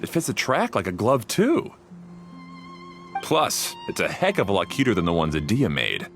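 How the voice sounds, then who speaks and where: Laid-back, lowish-voiced slangy young guy. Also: huge pitch swings, moderate pace, faint music behind. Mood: explaining. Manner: casual, slangy.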